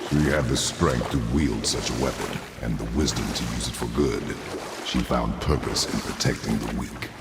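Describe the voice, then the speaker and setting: Super deep gruff warrior father, game cutscene, formal clipped talk, music behind. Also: moderate pace. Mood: solemn. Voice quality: very deep, gravelly. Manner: terse, formal, commanding. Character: stern, gruff warrior father, grieving but guarded. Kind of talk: video game cinematic acting.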